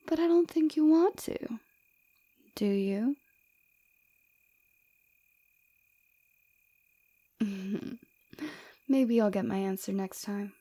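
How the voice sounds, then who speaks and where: A woman playing a flirtatious, forward rebel villainess with a secretly caring side, in a soft, teasing, intimate ASMR roleplay. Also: mid-range voice, natural voice.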